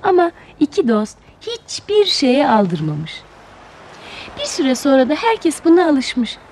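A woman's voice: high voice, wildly swooping pitch, slow, darkish tone, slight background noise. A woman narrating a children's fairy tale expressively, as a storyteller.

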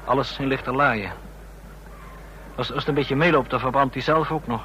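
A male actor plays a nervous junior agent in a radio drama. His mid-pitched voice is sharp and tense, flustered and pleading, stumbling over his words on a slightly noisy old recording. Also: steady tone, normal speed, muffled recording, natural voice. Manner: flustered, stammering.

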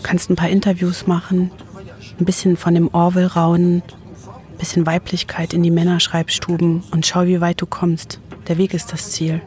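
A woman's voice: fairly low pitch, monotone, normal speed, darkish tone. A woman in her forties delivering first-person narration for a radio documentary, as its author. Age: 40s. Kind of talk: first-person scripted narration with phone calls.

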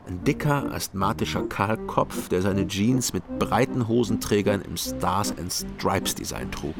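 A man narrating a crime story in first person and questioning witnesses calmly. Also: fairly low pitch, measured pace, creaky. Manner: calm, questioning. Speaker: narrator and detective protagonist. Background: music.

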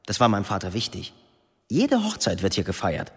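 A man's voice: on the low side, huge pitch swings, brisk, fairly bright tone, husky, dry recording, studio quality, exaggerated voice. A man playing a chatty, proud young Saudi host in naturalistic radio drama dialogue, familiar in manner. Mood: proud, playful.

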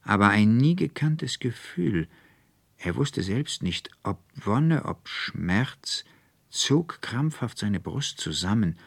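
A man's voice: fairly low pitch, sing-song, slow, creaky, slightly rough, no room sound. A man reading a literary tale as an audiobook narrator, expressively and from a script.

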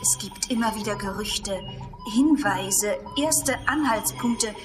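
A woman playing a skeptical investigative reporter in a radio drama, measured and insinuating. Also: mid-range voice, lightly acted. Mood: probing. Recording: long reverb with music behind.

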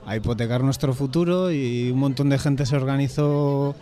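Mellow-voiced man from a bookshop collective, Spanish accent. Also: medium pitch, fairly even intonation, moderate pace. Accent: Spain. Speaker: interviewed bookshop and publishing collective member. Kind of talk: informal radio interview answers.

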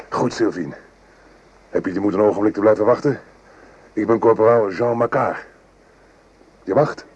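An actor playing a wary, wry and commanding French corporal in a radio play, questioning briskly. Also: male voice, on the low side, a little mellow, breathy edge, dull audio. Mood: probing, excited. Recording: noisy old radio drama recording.

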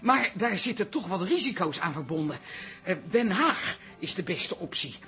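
A woman playing a chatty, excitable older toilet attendant in a radio play, flustered and stammering. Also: on the low side, moderate pace, heavily acted.

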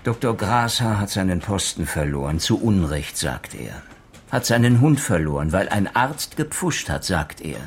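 Deep-voiced, thoughtful police inspector. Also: male voice, sing-song, normal speed, a little hiss or hum. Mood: insistent. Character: earnest, reflective male police inspector hunting a murderer. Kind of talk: German radio crime drama acting.

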